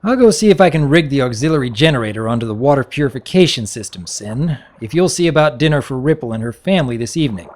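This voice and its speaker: A man as an earnest pony baron, lively pitch. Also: fast, hardly any pauses, close to an everyday voice. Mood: practical, musing. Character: young male pony baron, earnest, awkward, well-mannered.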